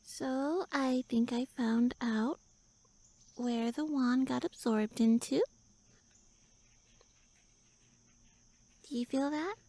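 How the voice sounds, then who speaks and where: A woman playing a pouty tsundere elf shrunk to pixie size in a roleplay audio, haughty, flustered and halting as she speaks to the listener. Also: high-pitched, fairly even intonation, warm tone, no echo, full character voice. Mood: determined, pouty.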